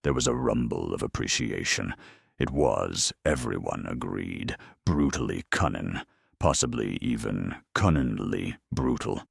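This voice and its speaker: A man reading a scripted dramatic story in a measured, deadpan manner with dry humour. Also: very deep voice, vocal fry, husky, dry recording. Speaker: narrator.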